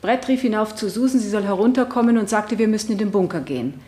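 A woman acts a sincere wife testifying in a radio courtroom drama. She recounts things simply at a medium pitch, with a roomy echo on her voice. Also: fast talker, mellow, close to an everyday voice. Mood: earnest recounting. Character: earnest wife of the accused on the witness stand. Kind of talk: 1970 German radio drama acting.

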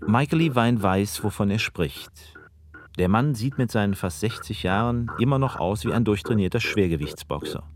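A man's voice: on the low side, lively intonation, normal speed, a crowd in the background. A man narrating a scripted radio documentary with pointed irony. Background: music.

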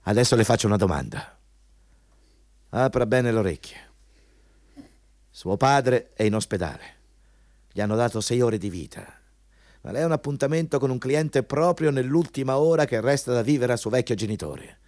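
An actor in an Italian radio play playing a ruthless, patronizing company interviewer who talks in a hectoring, insistent way. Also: male voice, medium pitch, animated, moderate pace, long pauses, a little mellow, no room sound, studio quality, natural voice. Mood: pressing, patronizing.

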